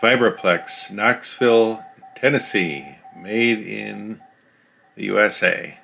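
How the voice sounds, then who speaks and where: A man's voice: lowish voice, takes it slowly, gravelly, bright, forceful tone. A man casually narrating an unboxing of a radio key, explaining each part plainly as he shows it.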